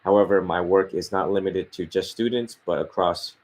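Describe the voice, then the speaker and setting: A man in his thirties, a monk from Vietnam, speaks with a very low, deep voice. He tells his story in a relaxed, open and unhurried way, like a guest chatting on a podcast. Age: 30s. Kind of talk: casual interview, life story.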